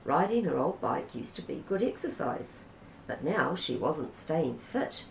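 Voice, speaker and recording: A woman with a New Zealand accent reads a children's story aloud, gentle and unhurried. Her voice sits low with a gravelly edge, and the room gives it a noticeable echo. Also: sing-song, a little hiss or hum. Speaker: storybook reader. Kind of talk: reading a children's picture book aloud. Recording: roomy echo.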